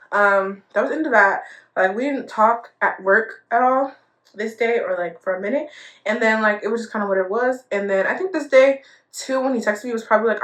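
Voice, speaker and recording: A woman's voice: medium pitch, a bit bright. A young woman in her twenties telling a casual storytime vlog, chatty, laughing often and full of fillers. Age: 20s. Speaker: storytime vlogger. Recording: echoey room.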